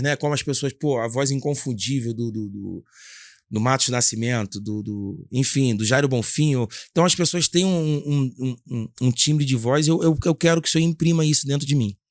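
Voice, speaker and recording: A man with a Brazilian Portuguese accent, a gospel singer and worship leader telling his story in a casual podcast interview, with a husky timbre and earnest, halting delivery full of restarts. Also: mid-range voice, no echo, clean studio sound. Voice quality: husky, gravelly singing timbre.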